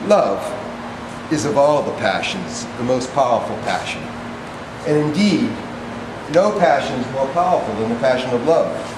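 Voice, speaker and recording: An actor playing a servile, pompous orator who declaims set speeches in a deliberate, pedantic way on stage. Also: male voice, mid-range voice, animated, background noise. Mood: solemn, declaiming. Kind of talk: theatrical stage monologue. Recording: echoey stage play, music behind.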